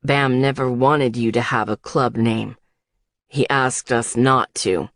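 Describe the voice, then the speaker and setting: A woman voicing a gruff, protective male biker in an audiobook, speaking quietly and bluntly in a put-on low voice. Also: steady tone, slow, no echo, studio quality, heavily acted. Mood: calm, explanatory. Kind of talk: audiobook character dialogue.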